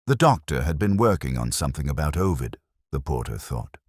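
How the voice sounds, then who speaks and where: A man plays a brooding, literary police detective with an extremely deep voice. He narrates a radio mystery in the first person, measured and solemn with a wry edge. His pitch rises and falls widely, and the sound is dry, with no room around it. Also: sing-song, husky, no echo, exaggerated voice. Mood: brooding, reflective.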